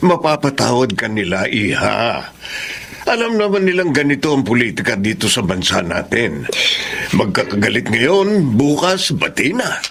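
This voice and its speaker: A man's voice: mid-range voice, very animated, a little slow, vocal fry, a bit bright, heavily acted. An actor playing a cunning older Filipino father-in-law and politician in a radio drama, smug and reassuring as he schemes. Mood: smugly reassuring.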